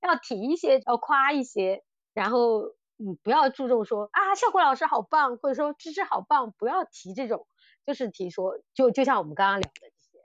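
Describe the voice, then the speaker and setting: A woman's voice: sits high, animated, brisk, no echo, dull audio. A woman hosting a podcast in casual chat, often repeating words and restarting as she explains. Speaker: podcast host. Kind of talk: casual chat reviewing audio dramas.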